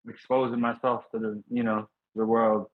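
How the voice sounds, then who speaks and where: Brief: excitable male co-host, slangy ramble, mid-pitched, slightly dull lo-fi audio. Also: normal speed. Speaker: podcast co-host. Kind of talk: casual podcast chat.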